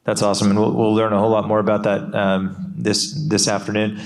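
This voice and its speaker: A man moderating a panel discussion on stage, speaking in a relaxed, conversational way with frequent fillers. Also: lowish voice, brisk, creaky.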